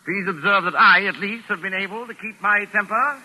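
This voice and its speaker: An actor playing a pompous, touchy mystery novelist with precise, exasperated formal diction, in 1940s radio drama style. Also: male voice, high voice, brisk, bright, forceful tone, heavily acted, poor audio. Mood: indignant. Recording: raspy vintage 1940s radio recording.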